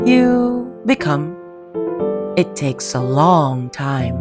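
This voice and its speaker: A woman voicing a wise, gentle old male toy horse who speaks slowly, patiently and reassuringly in a children's story. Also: low-pitched, big pitch swings, over loud music, pitched below the performer's own voice, full character voice.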